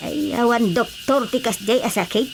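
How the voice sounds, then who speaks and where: A woman's voice: fairly high pitch, moderate pace, vocal fry, slight background noise. A woman acting a complaining mother-in-law in a radio drama, confiding and grumbling with an Ilocano accent.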